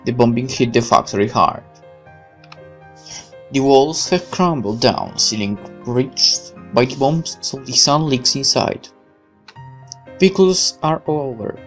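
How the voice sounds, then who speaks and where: A woman reading a visual novel game aloud as narrator and player, adding casual commentary. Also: extremely low pitch.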